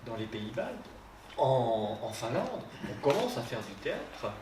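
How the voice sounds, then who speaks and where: A man's voice: mid-range voice, sing-song, measured pace, noisy background. A man on a panel discussing theatre, hesitant, with many fillers and restarts. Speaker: translator. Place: theatre hall. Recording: roomy hall mic from a distance.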